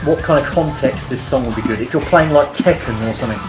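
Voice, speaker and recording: A man's voice: mid-range voice, fast talker, over music. A man co-hosting a podcast, chatting casually about songs in a rambling, hesitant and jokey way. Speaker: podcast co-host reviewing songs. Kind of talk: casual music reaction chat.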